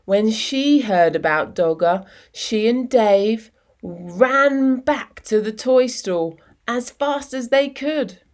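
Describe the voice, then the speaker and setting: Woman doing storytime for kids, really slow, kinda high voice, big dramatic pauses. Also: animated. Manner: deliberate, measured phrasing with dramatic pauses. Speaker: storyteller reading a picture book to children. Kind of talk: expressive children's story reading.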